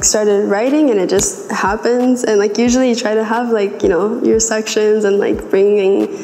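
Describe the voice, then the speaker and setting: Young woman singer-songwriter, mellow and even-toned, hesitant, recorded in a reverberant room. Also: medium pitch, moderate pace. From United States, raised in Atlanta. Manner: relaxed, hesitant, heavy use of "like" fillers. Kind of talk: casual interview. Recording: echoey room with long reverb.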